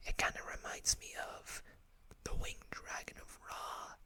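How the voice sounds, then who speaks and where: An ASMR host whispering in an unhurried way, reading text aloud and adding small asides. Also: sharp tone. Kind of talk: whispered ASMR card showcase.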